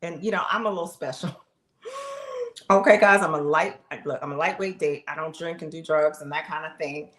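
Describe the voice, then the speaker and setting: A middle-aged woman with a fairly low voice speaks straight to her viewers on a live stream, animated and chatty. The sound rings off the walls of an echoey room. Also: brisk. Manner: animated, talks straight to the audience, exclaims, laughs. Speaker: live streamer sharing her health journey. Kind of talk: casual testimony to viewers.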